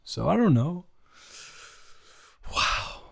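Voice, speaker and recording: A man's voice: a little high, big pitch swings, very slow, gravelly, fairly bright tone, exaggerated voice. A man playing a nervous, smitten young college athlete reunited with a childhood friend, rambling and stammering earnestly in an improvised romantic audio roleplay.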